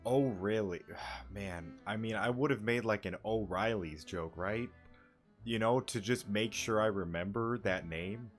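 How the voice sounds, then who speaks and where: A male streamer with a lowish voice who talks slowly and casually over the game. He rambles, jokes and trips over names while faint music plays underneath. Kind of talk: casual gameplay commentary.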